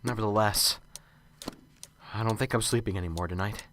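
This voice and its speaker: A man's voice: lowish voice, a little fast, background noise, close to an everyday voice. A man playing a nervous, unsure male professor who stammers and talks to himself in a student radio drama. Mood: anxious.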